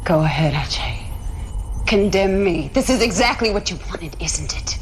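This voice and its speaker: A woman's voice: fairly low pitch, lively intonation, normal speed, a little hiss or hum, close to an everyday voice. An actress playing a loyal, defiant female military commander in a video game cutscene, earnest and impassioned. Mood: bitter. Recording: loud music behind the voice.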